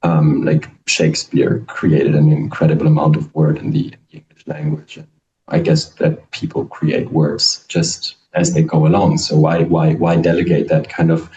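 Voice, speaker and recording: A man's voice: a bass voice, moderate pace, dark tone, far from the mic, muffled recording. A man in the audience asking a lecturer a polite, hesitant question.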